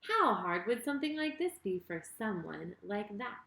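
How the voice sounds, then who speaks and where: A woman reading a children's story in an expressive, deliberate way, speaking directly to her young listeners. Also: mid-range voice, vocal fry. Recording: roomy, echoing sound.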